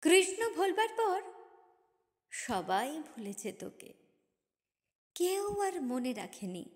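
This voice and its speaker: A woman recites poetry in a high voice, wrapped in long reverb. Her delivery is very slow and theatrical, with deliberate pauses and emotional swells that give each phrase weight. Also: very animated. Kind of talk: dramatic Bengali poem recitation.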